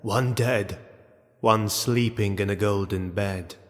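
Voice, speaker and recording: A man narrating a scripted fantasy tale in a solemn, deliberate, literary manner. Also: on the low side, fairly even intonation. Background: background music.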